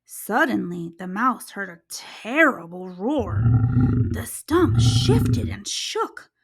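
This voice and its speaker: A woman's voice: mid-range voice, big pitch swings, slow, vocal fry, husky. A woman reading a children's story aloud as host, with dramatic, careful narration.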